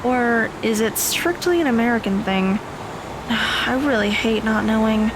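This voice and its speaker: Woman as an anxious high-voiced teenage girl. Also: unhurried, lightly acted. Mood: frustrated, puzzled. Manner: fretful, thinking aloud. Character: anxious, self-questioning teenage girl talking to herself. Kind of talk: audio drama monologue. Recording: stormy ambience noise behind voice.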